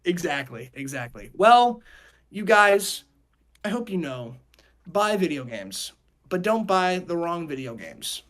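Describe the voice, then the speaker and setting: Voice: mid-range voice, big pitch swings, measured pace, a bit bright. Casual young podcast host, jokey. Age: young adult. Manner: jokey, repeats phrases. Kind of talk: casual group chat.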